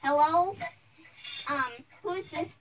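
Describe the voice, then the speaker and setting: A woman's voice: high-pitched, slow, recorded from a distance, poor audio. A woman playing a sheepish schoolboy bully who hesitates and stammers, in an improvised amateur role-play. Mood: sheepish, nervous.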